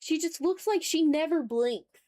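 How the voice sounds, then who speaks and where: A woman with a fairly high voice, recorded very dry and close. She chats informally like a livestream host absorbed in drawing, muttering self-critically to herself and to her viewers. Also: lively intonation, normal speed, mellow, dry recording. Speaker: streamer hosting an art livestream. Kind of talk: casual chat while drawing.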